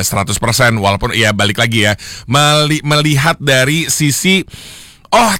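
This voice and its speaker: A young man hosting a solo radio show, chatting casually with listeners and slipping English phrases into his talk, with a slightly hoarse throat. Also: mid-range voice, bright, forceful tone, no room sound, clean studio sound. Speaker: radio host. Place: radio studio. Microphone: studio microphone.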